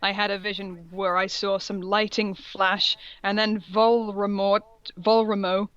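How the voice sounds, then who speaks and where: A woman's voice: medium pitch, little pitch movement, a little slow, fairly bright tone, clean tone, no room sound, dull audio. A woman co-hosting a comic reading of fan fiction, narrating mock-seriously and giggly, with asides. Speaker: co-host.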